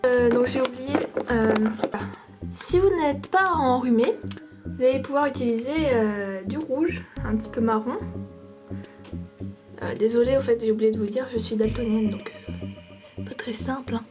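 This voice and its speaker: A woman's voice: fairly high pitch, lively intonation, unhurried, long pauses, over music. A woman with a cold giving a casual, playful makeup tutorial, hesitating often with fillers. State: stuffy with a cold. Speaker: makeup vlogger.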